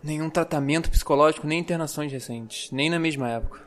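An actor playing a dry, exasperated young forensic doctor in an audio drama, speaking precisely with a Brazilian accent. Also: male voice, on the high side, fast, fairly bright tone, lightly acted. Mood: frustrated, earnest.

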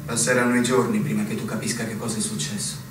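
A man's voice: lowish voice, flat delivery, quick pace, natural voice. A man acting as a somber narrator in a radio drama, delivering literary lines with foreboding. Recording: very echoey, distant, loud music underneath.

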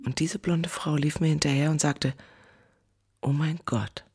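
An actress playing a weary, embittered woman who survived a disaster, recounting it in a radio drama monologue with a husky voice. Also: low voice, steady tone, a little slow, background noise. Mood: anguished. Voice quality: husky, gravelly.

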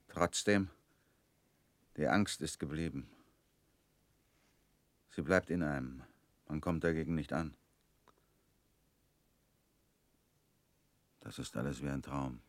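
A man's voice: a bass voice, slow, pauses often, no echo, exaggerated voice. An actor in a radio play playing a fearful, suspicious man alone at home, speaking in insistent, clipped sentences. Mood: subdued fear. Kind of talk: German radio play acting.

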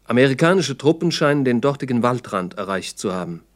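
A male narrator with a medium-pitched voice reads scripted, documentary-style radio drama. His delivery is sober and factual, like an official report from the 1960s. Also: animated, fairly quick.

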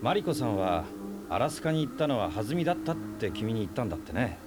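A man's voice: mid-range voice, very animated, fast talker, close to an everyday voice. A talkative, teasing man voiced by an actor in a radio drama, with music playing behind him. His delivery swings up and down, casual and knowing as he needles people. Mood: knowing, revealing. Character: talkative, teasing adult man, nosy family acquaintance. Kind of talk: Japanese radio drama acting.

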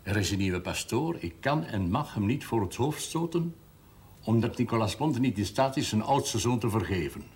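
An actor playing a seventy-six-year-old priest, speaking slowly and solemnly in a husky voice, in a 1970s television drama. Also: male voice, on the low side, expressive, darkish tone, slight background noise, natural voice. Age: 70s. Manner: slow, measured, solemn delivery. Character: old male priest, wise and melancholy.

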